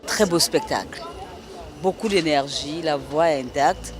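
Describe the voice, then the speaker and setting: A man's voice: fairly high pitch, unhurried. Man praising a show over a crowd. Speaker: concertgoer. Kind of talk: street vox pop.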